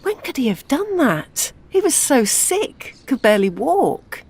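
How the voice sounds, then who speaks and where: An actress playing an older widowed mother in an audio drama, grieving yet gently scheming as a matchmaker. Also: high voice, sing-song, a little slow, full character voice. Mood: surprised, searching.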